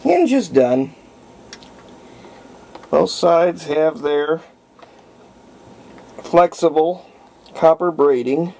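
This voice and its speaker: A man narrating his repair of an old radio in a halting, casual way, thinking aloud as he works. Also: a little high, expressive, gravelly, dark tone. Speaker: radio restorer.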